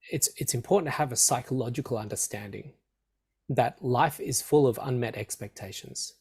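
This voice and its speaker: A man with a croaky, tickly throat explaining ideas as a meditation teacher, building emphatic, repetitive lists. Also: mid-range voice, darkish tone. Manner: emphatic, repetitive, list-like phrasing. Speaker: meditation teacher, podcast guest. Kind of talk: explanatory interview talk.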